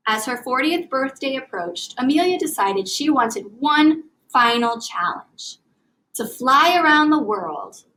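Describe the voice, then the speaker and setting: A woman teaching young children in an expressive, carefully enunciated read-aloud style, speaking as an elementary reading teacher. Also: high voice, measured pace, slight background noise, poor audio.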